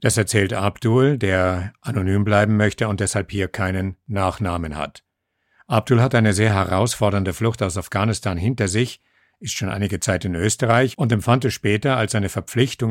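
A male reporter reading a scripted feature narration in a measured, even way. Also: lowish voice, dry recording, studio quality.

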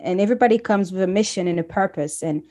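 A woman's voice: medium pitch, fast. Woman from Congo telling her story. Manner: warm storytelling with frequent restarts. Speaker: guest. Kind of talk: casual interview chat.

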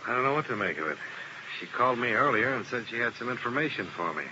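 A man's voice: mid-range voice, animated, quick pace, lo-fi sound, close to an everyday voice. An actor playing a hard-boiled male insurance investigator who narrates and questions people in a 1950s radio drama, in a terse, dry, probing manner. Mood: pressing. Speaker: narrator and lead detective. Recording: hissy old radio broadcast.

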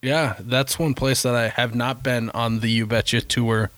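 A man's voice: medium pitch, little pitch movement, unhurried, a bit bright, no echo. A man hosting a podcast, upbeat and teasing as he chats with his guests. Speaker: podcast host. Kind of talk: casual podcast chat.

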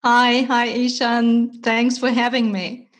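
A woman from Ukraine whose first languages are Russian and Ukrainian, a language teacher speaking as a podcast guest in a measured, explanatory way with frequent pauses. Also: on the high side, level tone. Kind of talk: podcast interview.

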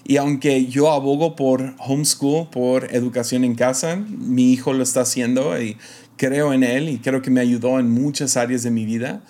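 A young man from Mexico who speaks with an American accent, talking alone on his podcast. His pitch sits in a middle range. His delivery is casual and reflective, full of hesitations, restarts and small laughs. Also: fairly even intonation, moderate pace, warm tone. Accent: American English. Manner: hesitant, many fillers and restarts, light laughs. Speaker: podcast host.

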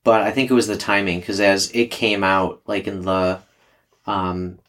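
A man's voice: low voice, level tone, unhurried, fairly bright tone. A man hosting a casual book discussion podcast, joking about himself and steering the talk. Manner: self-deprecating, joking, leads the discussion. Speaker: podcast host. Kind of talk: casual book club chat.

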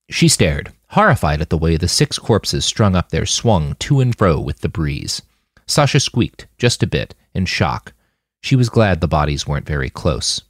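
Expressive, lowish-voiced male audiobook narrator. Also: vocal fry, no echo, studio quality. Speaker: author narrating his novel.

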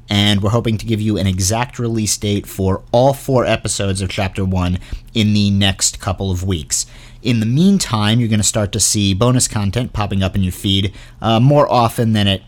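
Low-pitched man hosting a podcast. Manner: chatty, informal, earnest. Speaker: podcast host and show creator. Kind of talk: casual podcast announcements.

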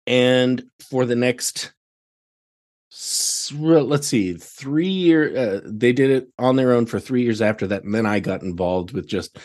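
A man's voice: mid-range voice, unhurried, darkish tone. An American man chatting casually as a podcast guest, telling stories with exclamations and quoting himself. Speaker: podcast guest, theatre director. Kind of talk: casual chat.

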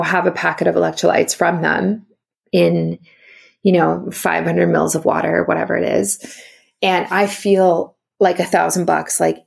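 Gravelly, husky-voiced woman podcast host. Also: on the low side, darkish tone. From South Africa. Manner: chatty, upbeat, heavy use of "like". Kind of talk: casual solo podcast chat.

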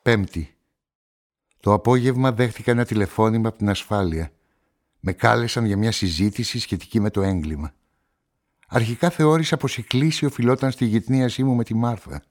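An actor playing a guarded amateur sleuth, telling a crime story in measured, reflective radio-play narration and dialogue. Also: male voice, on the low side, close to an everyday voice.

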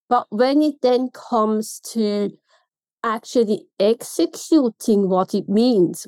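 A woman's voice: medium pitch, dark tone, dry recording. A woman from Switzerland with a Swiss German accent, a consultant guest on a podcast interview, talking emphatically and throwing out pointed rhetorical questions.

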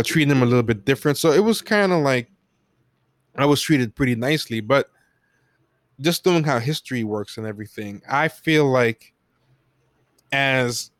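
An American man talking casually and reflectively in an interview, feeling his way through his points in a halting manner. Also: mid-range voice, clear, resonant tone, dry recording, clean studio sound. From United States. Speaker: podcast guest.

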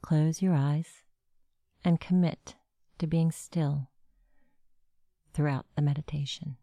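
A woman narrating a guided meditation with gentle, measured instructions. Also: sits low, steady tone, no room sound, clean studio sound.

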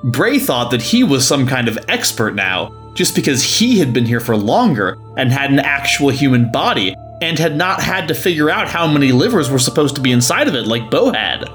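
A man's voice: mid-range voice, big pitch swings, moderate pace, a bit bright, faint music behind. A man narrating a scripted story.

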